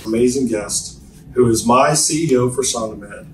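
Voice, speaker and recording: A man's voice: mid-range voice, moderate pace, a little hiss or hum. Chatty male podcast host in an echoey room. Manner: friendly, upbeat, talkative. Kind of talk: casual interview. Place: hotel suite. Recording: roomy hotel suite, distant mic.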